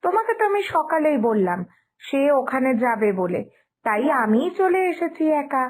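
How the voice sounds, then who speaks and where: A woman's voice: sits high, very animated, moderate pace. A woman voicing a dutiful, considerate young daughter-in-law in a cartoon story, speaking politely and a little hesitantly. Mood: familiar, explaining.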